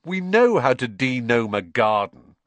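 A man's voice: mid-range voice, big pitch swings, unhurried, no room sound, voice pushed up. A man voicing a cheeky teenage wizard boy protesting to his mother.

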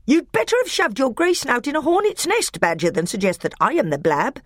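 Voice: high-pitched, wildly swooping pitch, brisk, no room sound, clean studio sound, voice pushed up, exaggerated voice. A reader voicing a bitter, angry male dwarf who snarls accusations. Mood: snarling.

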